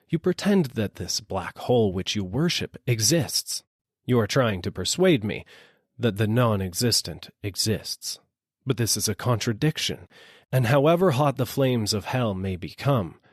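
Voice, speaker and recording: A man's voice: fairly low pitch, no room sound, studio quality. A man voicing an argumentative, logic-minded philosopher in a storybook, emphatic and formal in his declamation. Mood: indignant.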